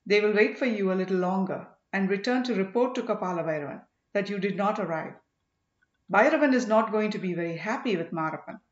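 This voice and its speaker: A woman's voice: mid-range voice, expressive, brisk, close to an everyday voice. A woman voicing a loyal, plain-spoken male servant who addresses his master respectfully and emphatically, as in a storybook. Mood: explaining. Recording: roomy, slightly dull home recording.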